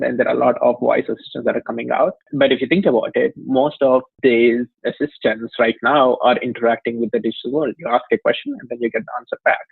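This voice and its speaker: A man's voice: medium pitch, creaky, breathy edge, no room sound. A man from India with an Indian accent, a company chief explaining his work at length in an interview, with many "you know"s and light laughs. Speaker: company CEO, guest. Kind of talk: interview conversation. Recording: phone-like dry line.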